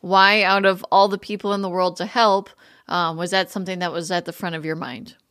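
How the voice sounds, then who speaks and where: A woman's voice: on the low side, little pitch movement, brisk, a bit bright, dry recording, clean studio sound. A woman hosting her own podcast, opening the show and interviewing a guest with short encouraging replies. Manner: brief encouraging backchannels. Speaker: podcast host.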